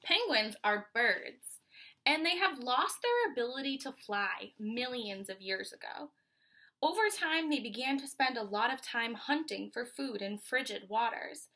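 A woman in her twenties with an American accent reads a children's picture book aloud like a teacher, pausing to explain and ask questions. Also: a little high, lively intonation, sharp tone. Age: 20s. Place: small furnished room at home, indoors.

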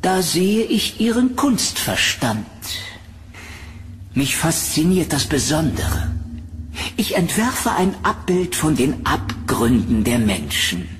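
An actor playing an eccentric, menacing painter in a German horror audio drama, theatrical, flattering and insistent. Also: male voice, a little high, slow pace, soft background music. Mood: brooding pride. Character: eccentric, menacing male painter of macabre nightmare art.

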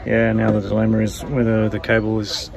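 A man's voice: lowish voice, completely flat delivery, a little fast, gravelly, crowd noise. A man, narrating his sailing vlog in a matter-of-fact, tired and wry way, filmed close up on a handheld camera aboard a boat in a marina. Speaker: sailing vlogger. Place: on a sailboat in a marina, outdoors. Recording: outdoor wind and ambient noise.

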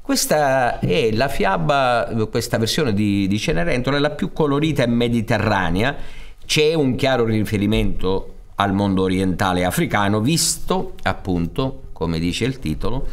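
A man, introducing a show to an audience in an explanatory, discursive way with pauses. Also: mid-range voice, animated. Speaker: theatre director introducing a storytelling show. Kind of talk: spoken introduction to an audience, partly read. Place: indoor theatre stage.

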